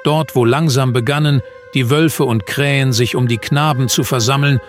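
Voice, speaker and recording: A man's voice: mid-range voice, steady tone, normal speed. A man reading scripted storybook narration as narrator of a comic Christmas audio play. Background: music.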